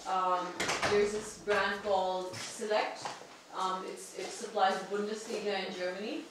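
A woman's voice: medium pitch, little pitch movement, a little slow. Echoey, distant woman at a seminar. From Pakistan. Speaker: audience member, barrister and entrepreneur. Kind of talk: seminar question and comment. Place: large indoor meeting room. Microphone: far room camera. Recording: distant mic, very echoey room, faint noise.

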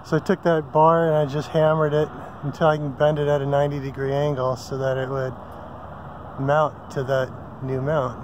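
A man's voice: fairly high pitch, level tone, moderate pace, noisy background. A man casually vlogging outdoors at a riverside campsite, filming with a handheld phone and rambling with many ums and self-corrections. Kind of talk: casual how-to vlog. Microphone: handheld phone camera.

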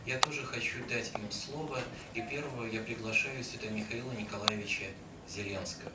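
Middle aged guy introducing speakers at a meeting, echoey room, really loud noise. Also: fairly low pitch, steady tone, a little fast. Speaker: event host. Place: meeting hall with seated audience. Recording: echoey, very noisy room, distant mic.

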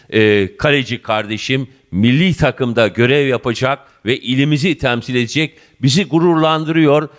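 A man's voice: medium pitch, clean tone. Man in his fifties, local TV interviewer, effusive and flowery, handheld mic, school office. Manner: effusive, addresses viewers and guest as "dear".

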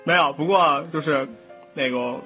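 A man chatting casually in a halting way, often repeating his words. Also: on the high side, pushed and bright, soft background music. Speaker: audio drama post-production editor. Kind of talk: casual chat.

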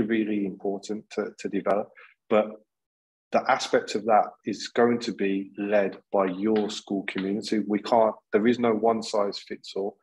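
Man, 30s, panel guest on a video call, steady flat delivery. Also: lowish voice, breathy edge, poor audio. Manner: earnest, many restarts and repeated words. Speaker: panel guest, school leader. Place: plain indoor room.

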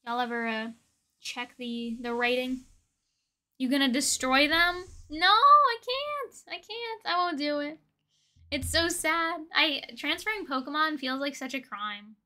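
A young woman talks casually to her livestream viewers. Her voice is very high and bright. She is playful and expressive, with plenty of slang in an informal, chatty flow. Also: unhurried. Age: young adult. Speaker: livestream host. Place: indoor room with desk and shelves of collectibles.

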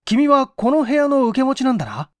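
A man voicing a hot-headed, sharp-reasoning man in an audiobook mystery, speaking forcefully and emphatically. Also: very high-pitched, sing-song, no echo, voice pushed up. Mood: brisk, commanding. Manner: forceful, emphatic, quick to conclude.

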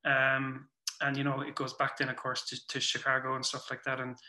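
A man in his forties with a Cork Irish accent, chatting reflectively as an interview guest and often circling back to rephrase in a halting way. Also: mid-range voice, monotone, pushed and bright. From Cork, Ireland. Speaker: guest, playwright and performer. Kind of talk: casual reflective interview chat. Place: small room at home, indoors. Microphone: computer mic on a video call. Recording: roomy video-call sound.

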